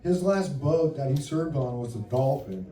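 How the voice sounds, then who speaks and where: Man, lively anecdote, acts out the quotes, mid pitch, echoey hall, distant, noisy. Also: normal speed. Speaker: submarine veteran member. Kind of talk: casual storytelling at a meeting. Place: meeting hall. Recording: echoey room, far from the mic.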